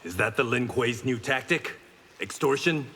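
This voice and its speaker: An actor playing a stern, honorable reformed-gangster swordsman in a video game, speaking in a measured, terse, resolute way. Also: medium pitch, lively intonation, natural voice. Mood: defiant. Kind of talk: video game fight banter. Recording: reverberant hall, faint background noise.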